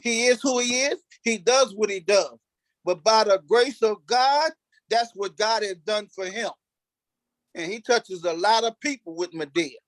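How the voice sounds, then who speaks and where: A man's voice: sits high, a little slow, dry recording. A man who acts on stage, talking informally in an interview and repeating phrases emphatically for stress. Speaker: stage play cast member, actor.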